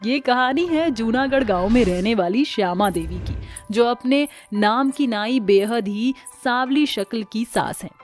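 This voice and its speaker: A female storyteller reads a scripted cartoon tale. Her voice sits fairly high, and soft music plays behind it. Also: measured pace.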